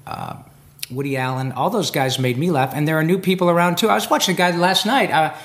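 A man's voice: a little high, a little fast. A man chatting in an interview, telling long stories with lots of fillers and restarts. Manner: animated, rambling storyteller with many fillers. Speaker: guest voice actor. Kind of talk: casual interview chat. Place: convention floor.

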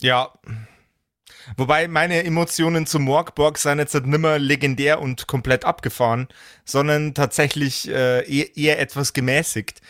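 Higher-pitched man, casual and slangy. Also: steady tone, moderate pace, fairly bright tone, no echo, clean studio sound. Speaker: podcast regular and player. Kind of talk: casual chat with slangy, blunt opinions.

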